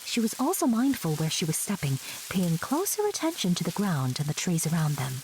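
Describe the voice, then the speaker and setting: A woman playing a chatty, whimsical narrator in a fantasy audio drama, breathy and confiding, with playful asides. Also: fairly low pitch, very animated, fairly quick, darkish tone, slight background noise, rough recording. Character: chatty, whimsical storyteller fond of her enchanted forest.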